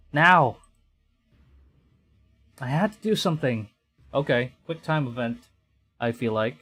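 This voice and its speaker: A man's voice: mid-range voice, fairly bright tone, breathy edge. A man live streaming a game playthrough, reading text aloud and adding hesitant, stammering asides. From Philippines. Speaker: VTuber streamer. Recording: music behind the voice. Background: game music.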